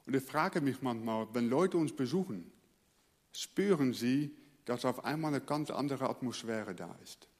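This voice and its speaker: A male pastor from the Netherlands, a native Dutch speaker, preaching earnestly and letting his phrase endings fall quiet. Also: medium pitch, lively intonation, a little slow, in a large hall. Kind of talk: sermon.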